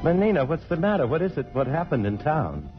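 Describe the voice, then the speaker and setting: An actor playing a restless, evasive husband and engineer in love, in a halting, earnest 1950s radio drama style. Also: male voice, a little high, sing-song. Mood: concerned, wry. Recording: muffled vintage radio broadcast, faint music.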